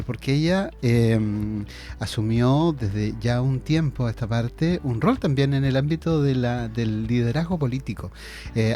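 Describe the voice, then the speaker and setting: A man hosting a local radio interview, speaking in a hesitant way with many pauses and restarts. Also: medium pitch, mellow. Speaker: radio host. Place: radio studio.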